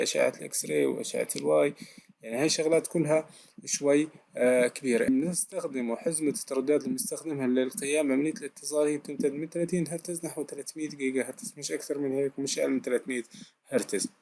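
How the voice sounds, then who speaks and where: A man's voice: fairly high pitch, creaky, a little mellow, a little hiss or hum. A man giving a recorded technical lesson, explaining step by step and answering his own questions with frequent hesitations. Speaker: lecturer.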